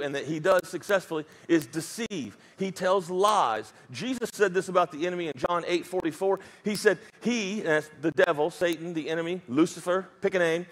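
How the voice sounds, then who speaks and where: A man's voice: sits high, a bit bright, rough recording. A man with a Southern US accent preaching a sermon as pastor, emphatic and dropping joking asides.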